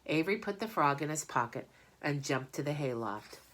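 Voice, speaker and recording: A woman's voice: deep voice, expressive, normal speed, fairly bright tone. A woman narrating a children's storybook aloud as a reader. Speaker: audiobook reader.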